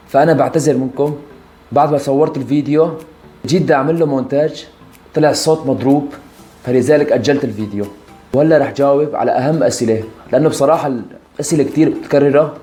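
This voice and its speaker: A man's voice: medium pitch, darkish tone. A man in his twenties from Syria with a Syrian Arabic accent, talking casually to followers as a vlogger. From Aleppo, Syria. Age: 20s. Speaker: YouTube content creator. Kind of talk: casual vlog answering follower questions.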